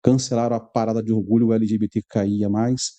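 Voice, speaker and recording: Very dark-voiced Brazilian man from Rio. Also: on the low side, fairly even intonation, quick pace. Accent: Brazilian, Rio de Janeiro. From Brazil (Rio de Janeiro). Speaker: host of a religious commentary show. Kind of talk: live commentary, reading news aloud with asides.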